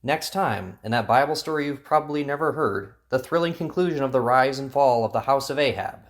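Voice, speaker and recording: A man's voice: medium pitch, fairly quick. A man narrating a Bible story drama in an earnest, preachy storytelling style. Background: guitar music.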